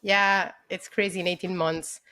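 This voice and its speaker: A woman's voice: fairly low pitch, little pitch movement, moderate pace, pushed and bright. A woman in her thirties from Italy, with an Italian accent, talking as a podcast guest over a video call, eager and full of fillers. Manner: enthusiastic, many fillers like "um" and "like". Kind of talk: casual interview conversation.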